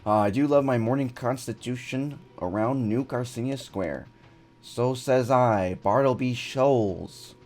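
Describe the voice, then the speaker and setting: A man's voice: mid-range voice, darkish tone, soft background music, close to an everyday voice. A man voicing a pompous, self-satisfied male crab landlord in a video game, drawing out his words. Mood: smug. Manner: drawn-out, self-important delivery.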